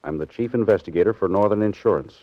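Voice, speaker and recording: An actor playing a cold, relentless chief insurance investigator in a 1940s radio drama, speaking in a grave, deliberate, self-assured way. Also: male voice, fairly low pitch, no echo, close to an everyday voice. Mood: cold, probing. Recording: dull, dry vintage 1940s radio recording.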